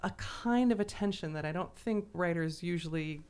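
A woman's voice: lowish voice, moderate pace, a little mellow, clean tone. A woman hosting a podcast, discussing literature casually in a conversational way peppered with "like" and "you know". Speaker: podcast host.

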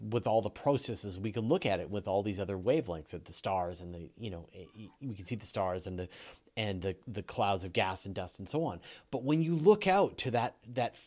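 Enthusiastic Canadian podcast host. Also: male voice, fairly low pitch, lively intonation, brisk, a little mellow. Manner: enthusiastic, jokey, restarts often. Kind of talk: interview.

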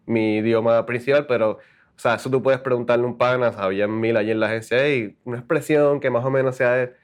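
Puerto Rican male copywriter, casual guest, halting delivery, drops English words. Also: mid-range voice, steady tone. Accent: Puerto Rican Spanish. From Puerto Rico. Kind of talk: casual interview.

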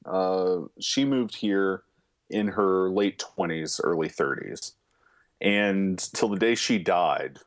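Low-voiced casual man reviewing films. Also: takes it slowly. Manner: loose and profane, lots of fillers. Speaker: podcast co-host, film reviewer. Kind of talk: casual chat.

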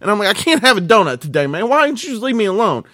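A man in casual podcast banter, telling stories in an animated, ranting way and acting out quoted exchanges. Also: high-pitched, very fast, no echo, clean studio sound.

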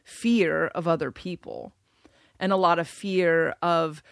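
Deep-voiced woman musing slowly. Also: gravelly, no room sound, clean studio sound. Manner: reflective, thinks aloud with pauses and restarts. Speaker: podcast guest. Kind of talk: casual conversation.

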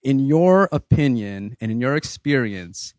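A man in his thirties hosting a podcast, leading a casual group discussion in a relaxed, rambling way with many fillers. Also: mid-range voice, measured pace, dry recording. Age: 30s. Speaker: podcast host.

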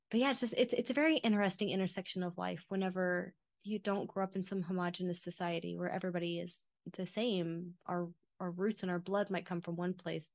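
A woman's voice: lowish voice, gravelly, dry recording. Thoughtful woman podcast host. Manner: thoughtful, asks long framing questions. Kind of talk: conversational interview.

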